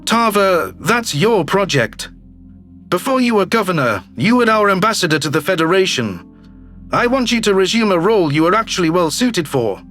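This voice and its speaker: A man's voice: sits high, a bit bright, voice pushed down. A man voicing a smug, calculating alien governor, slick and self-satisfied, in a theatrical audio drama style. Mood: smug, commanding. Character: smug, calculating male alien politician, newly elected governor. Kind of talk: scripted sci-fi audio drama dialogue.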